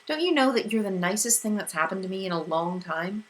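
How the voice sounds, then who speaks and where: A woman voicing a gentle, steady teenage boy who speaks softly and kindly. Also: mid-range voice, a little fast, close to an everyday voice. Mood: tender. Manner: gentle, soft-spoken.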